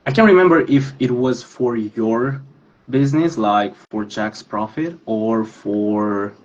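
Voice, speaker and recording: A man's voice: mid-range voice, takes it slowly. A 19-year-old man from Romania, a guest on a podcast who talks earnestly and with care about his work over a video call. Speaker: guest, digital creator. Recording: dull lo-fi call audio.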